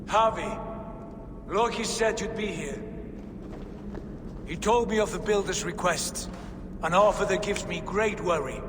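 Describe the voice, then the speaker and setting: Casting: steadfast warrior god, a king's trusted friend; fairly high voice, earnest, measured, reverberant game cutscene. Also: background noise. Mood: concerned. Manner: earnest, measured, formal counsel. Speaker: video game character actor. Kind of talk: dramatic game cutscene acting. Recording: echoing hall reverb under music.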